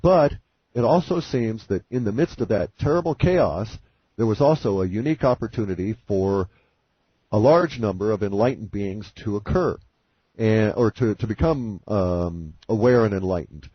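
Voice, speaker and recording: A man giving a long reflective monologue as a guest on a conference call, speaking in a deliberate, halting way with formal, wordy phrasing. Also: fairly low pitch, animated, slightly rough. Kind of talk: long reflective spoken monologue on spiritual ideas. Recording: muffled, roomy conference call audio.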